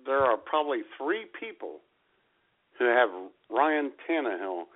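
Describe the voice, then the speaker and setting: A man co-hosts a radio show in casual, jokey banter, his voice swinging lively up and down. He sounds excitable and quick to laugh. The sound is thin and phone-like. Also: medium pitch.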